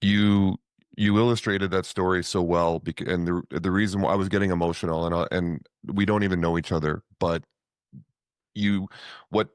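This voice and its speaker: A man hosting a podcast interview, speaking gently and haltingly with frequent restarts. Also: low voice, no room sound, clean studio sound. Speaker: podcast host. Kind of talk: interview conversation.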